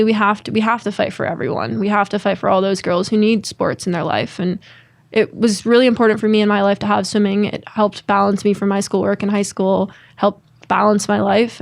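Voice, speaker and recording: A young woman in her twenties, from Connecticut, talks through her answers in an earnest, upbeat way and often stops to restart a thought. Her voice sits at an ordinary middle pitch. The recording is close and dry, with no room sound. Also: creaky, no room sound. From Connecticut, United States. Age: 20s. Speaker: guest, former college swimmer and advocate. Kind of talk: conversational interview answers.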